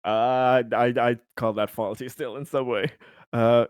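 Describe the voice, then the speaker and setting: A man chatting casually as a player in a tabletop game, playful and expressive. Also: medium pitch, measured pace, smooth, dry recording, clean studio sound.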